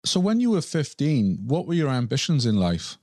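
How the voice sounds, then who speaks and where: A man's voice: medium pitch, huge pitch swings, normal speed, a little mellow, no room sound, studio quality. A man hosting a podcast, asking his guest questions politely and with curiosity. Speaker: podcast host. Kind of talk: podcast interview.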